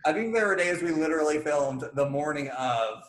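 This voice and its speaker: A man co-hosting a casual fan chat show, talking in an animated, jokey, rambling way. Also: fairly high pitch, brisk, echoey room, poor audio. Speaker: podcast co-host.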